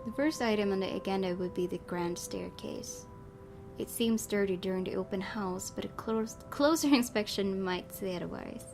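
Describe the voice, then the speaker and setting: A woman gaming host with a mid-pitched voice reads a visual novel's narration aloud. She mixes storytelling with casual commentary, and game music plays clearly behind her. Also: moderate pace.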